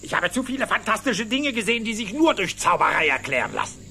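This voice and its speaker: An actor playing a crotchety, miserly old rich uncle duck in a cartoon radio play, blustering and excitable. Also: male voice, high voice, fast, creaky, soft background music, heavily acted. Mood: proud. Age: older.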